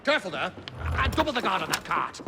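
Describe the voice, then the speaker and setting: An actor playing a gruff but deferential henchman foreman in a video game. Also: high-pitched, sing-song, quick pace, big-room sound, very noisy. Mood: barking orders. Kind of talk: video game cutscene acting.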